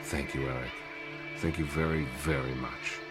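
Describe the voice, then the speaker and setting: A man playing a disillusioned professor in a motion comic, brooding, articulate and measured, full of self-doubt. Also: extremely low pitch, fairly even intonation, over loud music. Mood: subdued gratitude. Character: disillusioned male mutant professor, weary, self-doubting idealist.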